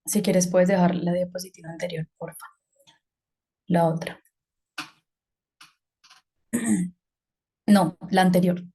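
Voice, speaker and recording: A woman's voice: lowish voice, steady tone, slow, pauses often, dark tone, slightly rough. A woman from Colombia giving an online talk, speaking conversationally with fillers and hesitations. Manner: conversational, hesitant with fillers, asks about slides.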